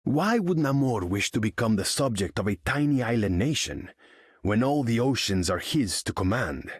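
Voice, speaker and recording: A male actor playing a haughty, calculating supervillain in a video game, with a husky voice and a formal, imperious manner. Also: mid-range voice, big pitch swings, moderate pace, no room sound, clean studio sound. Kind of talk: video game character acting.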